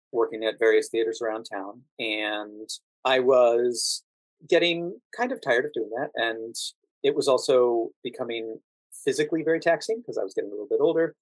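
A man's voice: medium pitch, no echo, poor audio. A middle-aged man from the United States speaking as a podcast guest, explaining and telling anecdotes conversationally. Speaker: podcast guest, actor and standardized patient.

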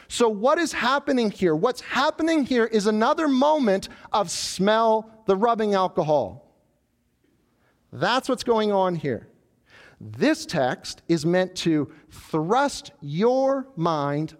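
Male preacher, high voice that swoops all over, working through scripture. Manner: animated preaching, voicing the proud tower builders. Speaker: pastor. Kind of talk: sermon.

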